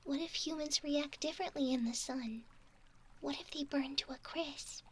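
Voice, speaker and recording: A woman playing a sweet, curious, cautious young mermaid in a whispered ASMR roleplay, with a soft, breathy, girlish voice and a wondering, halting delivery. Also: high voice, a little hiss or hum, close to an everyday voice.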